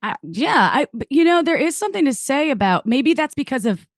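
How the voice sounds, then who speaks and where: A woman's voice: high-pitched, very animated, brisk, no echo, clean studio sound. A woman co-hosting a podcast, musing and thinking aloud in casual chat. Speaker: podcast co-host.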